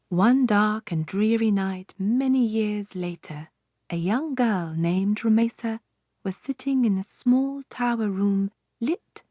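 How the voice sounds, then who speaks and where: Female fairytale audiobook narrator, unhurried and expressive, mid-range voice, dry studio sound. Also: medium pitch, no echo. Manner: measured, expressive storytelling.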